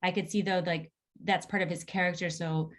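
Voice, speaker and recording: A woman's voice: fairly low pitch, steady tone, a little fast. A woman speaking casually on a video call, thinking aloud as she gives feedback and often saying "like". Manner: thinking aloud, heavy use of "like". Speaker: table read participant giving feedback. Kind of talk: casual spoken feedback on a script.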